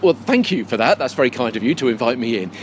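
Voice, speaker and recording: A man's voice: mid-range voice, lively intonation, quick pace. A British man conducting an on-location interview in a chatty, conversational manner. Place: inside a circus big top tent. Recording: noisy location recording inside big tent.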